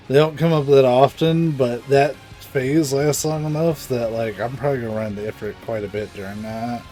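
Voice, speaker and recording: A man's voice: medium pitch, fairly even intonation, normal speed, warm tone. A man streaming a video game with casual, blunt, sweary commentary. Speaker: gameplay streamer. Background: game music.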